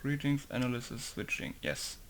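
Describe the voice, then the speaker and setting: A man's voice: mid-range voice, very animated, normal speed, a bit bright, slight background noise. Man muttering through a modding tutorial. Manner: muttering to himself, thinking aloud. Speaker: game modder. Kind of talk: casual tutorial while working.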